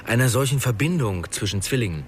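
A man acting as a gentle, enigmatic passenger in a radio drama. He muses with a lively, varied melody, faint cabin ambience behind. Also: medium pitch, fast talker, noisy background, close to an everyday voice. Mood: musing.

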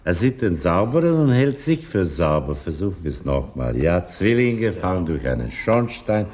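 Very deep, husky, wry teacher. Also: male voice, sing-song. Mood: didactic, sly. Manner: sly, deliberate, gently teasing. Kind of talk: theatrical radio play dialogue.

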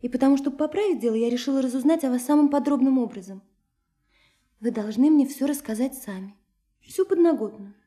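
An actress in a Russian radio play playing a lively, naive, sheltered young woman who is curious, insistent and teasing. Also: sits high, a little fast, natural voice. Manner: girlish, eager, teasing, pressing with questions.

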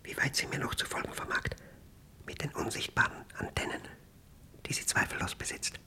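An actor playing an obsessed, paranoid man in a radio drama monologue, speaking intensely in halting, clipped fragments as he battles a machine he fears. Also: bright, forceful tone, in a whisper. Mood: secretive whispering.

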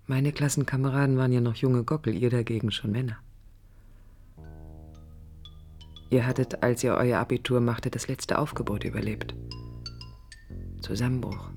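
An actress playing a passionate young woman looking back on her life in an intimate, measured radio drama monologue. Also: a bass voice. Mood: reproachful reminiscence.

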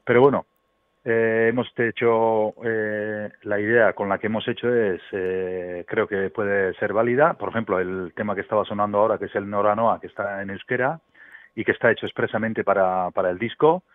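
Narrow phone-sounding audio, middle aged basque guy, native basque speaker, deepish voice, musician chatting in an interview, keeps stopping to find words. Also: fairly even intonation, no room sound. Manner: halting, searches for words. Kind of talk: casual interview talk. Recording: thin, phone-like band-limited line.

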